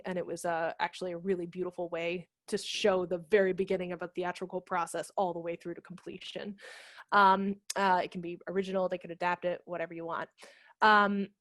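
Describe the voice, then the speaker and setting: A woman's voice: on the low side, fairly bright tone, dry recording, clean studio sound. A woman in her thirties giving a chatty, explanatory workshop talk over a video call. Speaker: theater director and former teacher presenting a workshop. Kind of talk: informal instructional video-call presentation. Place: home room, indoors. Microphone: headset microphone on a video call.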